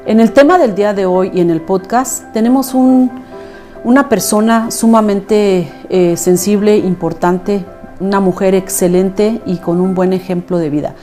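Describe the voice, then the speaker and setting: A woman's voice: medium pitch, measured pace, mellow, soft background music. A woman hosting a podcast interview. She keeps the guest talking with affirming murmurs and filler words. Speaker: podcast host.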